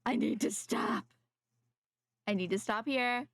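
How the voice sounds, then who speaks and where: A woman game streamer chatting casually to viewers, haltingly thinking aloud and repeating her phrases. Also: a little high, no echo. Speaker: game livestreamer. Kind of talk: casual livestream chat.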